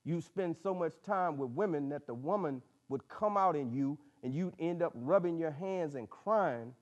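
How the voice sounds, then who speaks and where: Man acting blunt old country mentor, voice pitched up. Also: a little mellow, lightly acted. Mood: earnest, blunt. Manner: folksy, plain-spoken, colloquial. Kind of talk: dramatic literary reading.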